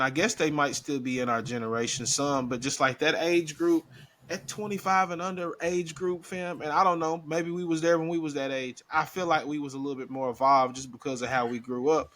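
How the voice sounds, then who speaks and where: A man's voice: a little high, fairly quick, fairly bright tone, slight background noise. Laid-back man in his thirties telling a story. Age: 30s. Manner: laid-back storytelling, lots of slang and fillers. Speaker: podcast co-host. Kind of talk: casual chat.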